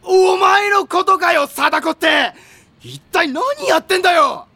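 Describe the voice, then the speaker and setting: A man playing a loud, hot-blooded, easily spooked high school volleyball boy in an anime audio drama, with blustering, rough slang. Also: a little slow, no echo, exaggerated voice. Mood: exasperated shouting.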